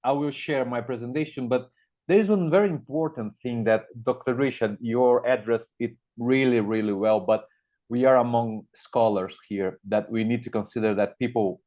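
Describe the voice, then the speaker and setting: A man's voice: mid-range voice, normal speed. Brazilian man on thin, phone-like call audio. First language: Portuguese. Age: middle-aged. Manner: hesitant, repeats words while thinking. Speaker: guest speaker, media educator. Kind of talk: informal conference talk.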